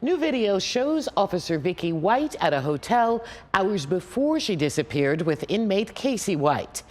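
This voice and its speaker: A woman's voice: medium pitch, very animated, a little slow, darkish tone. A woman reading scripted TV news as an anchor.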